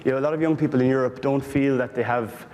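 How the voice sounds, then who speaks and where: A man's voice: mid-range voice, fairly even intonation, a little mellow. Irish man in an echoey hall. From Ireland. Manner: earnest, halting, many restarts. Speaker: panel speaker, political researcher. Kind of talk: panel discussion.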